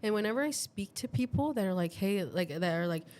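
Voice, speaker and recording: A woman's voice: medium pitch, animated, fairly quick, a little mellow, slight background noise. A young woman co-hosting a podcast in casual chat, talking freely and tagging her sentences with "you know" and "right?". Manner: chatty, many "you know" and "right?" tags. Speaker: podcast co-host.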